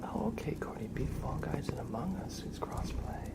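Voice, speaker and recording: A man livestreaming ASMR, chatting softly and playfully and often dropping into whispers. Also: mid-range voice, animated, normal speed, creaky. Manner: playful, mock-serious, doting talk about and to a pet. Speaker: ASMR live streamer.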